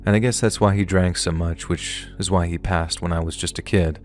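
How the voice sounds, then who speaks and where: A man narrating true horror stories submitted by viewers, in a measured, unhurried way. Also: sits low, steady tone, soft background music. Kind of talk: scripted horror story narration.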